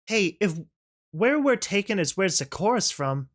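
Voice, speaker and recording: A narrator as an earnest, halting young pony student. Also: mid-range voice, big pitch swings, voice pushed up. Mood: hopeful. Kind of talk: audio storybook character voice.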